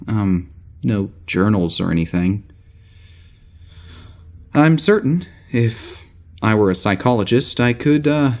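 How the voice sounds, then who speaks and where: A man's voice: mid-range voice, a little mellow, close to an everyday voice. A man playing a weary, wry spaceship security officer recording a log in an audio drama, rambling casually and hesitantly. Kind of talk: audio drama spoken log entry, naturalistic.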